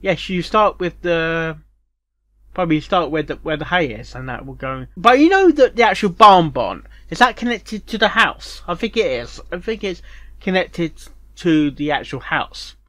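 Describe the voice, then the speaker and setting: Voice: medium pitch, very animated, normal speed, a bit bright, dry recording. A host giving casual reaction commentary, rambling and often repeating words and phrases. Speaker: reviewer and host of a fanfiction reaction show.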